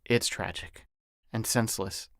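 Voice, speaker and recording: Guy doing a curt suspicious agent guarding evidence, lowish voice, dry close sound, audiobook. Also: fairly even intonation, unhurried, creaky, a bit bright, no echo. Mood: weary, softened. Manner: curt, authoritative.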